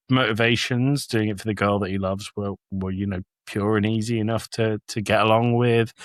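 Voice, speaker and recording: A man's voice: medium pitch, no echo. Dry-recorded joking male co-host. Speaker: podcast co-host. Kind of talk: casual banter and review.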